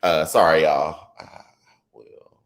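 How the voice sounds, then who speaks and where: A man in his thirties hosting a live political commentary stream, chatty and animated, reading viewer comments and riffing between stories. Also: a bass voice, takes it slowly. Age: 30s. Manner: animated and conversational, drifts into chatty asides and laughs easily. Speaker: political talk show host.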